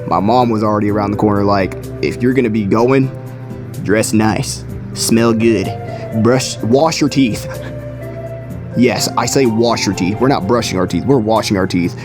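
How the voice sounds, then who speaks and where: A man's voice: lowish voice, moderate pace. A young man in his late teens telling a story in a chatty, slangy, self-deprecating way. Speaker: video creator. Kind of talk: casual storytime vlog. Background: music.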